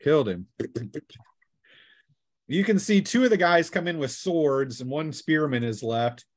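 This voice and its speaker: A man's voice: fairly high pitch, lively intonation, a little slow. A man running a tabletop role-playing session as game master in a casual, playful manner. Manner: playful, chuckling, acts out sound effects and shouts.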